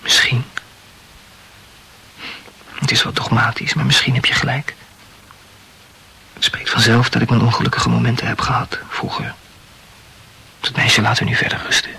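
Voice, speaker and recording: An actor playing an evasive, self-absorbed lover in an intimate radio play, reasoning wordily in a breathy voice. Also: male voice, medium pitch, flat delivery, normal speed, long pauses, pushed and bright, in a whisper, full character voice, poor audio. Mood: musing. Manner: wordy, rationalizing.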